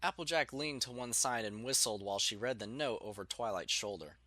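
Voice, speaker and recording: A man's voice: mid-range voice, animated, fairly quick, pushed and bright, dry recording. A man reading scripted story narration as the narrator of an audiobook.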